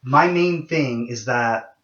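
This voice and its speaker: Young man, low voice, candid rambling vlog, muffled lo-fi sound, echoey room. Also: slow, a bit bright. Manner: candid and rambling, many ums and restarts. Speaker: vlogger. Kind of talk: casual monologue to camera.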